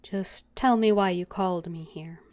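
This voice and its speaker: A woman's voice: fairly low pitch, unhurried, a little mellow, natural voice. A woman voicing her game's adventurer heroine, asking short blunt questions in her own everyday voice. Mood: questioning.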